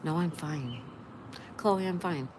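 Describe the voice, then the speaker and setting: A woman's voice: sits low, slow. A woman hosting a casual livestream, with a stuffed-up nose, greeting viewers by name and reading their chat aloud. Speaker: livestream host. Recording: loud background noise.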